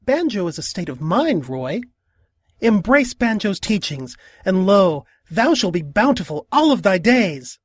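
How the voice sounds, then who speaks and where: A woman voicing a cheeky, excitable, naive young male trickster with a slangy, mock-grand manner in a comic cartoon dub. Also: mid-range voice, a little slow, breathy edge. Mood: earnest, naive. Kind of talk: animated comic fandub acting.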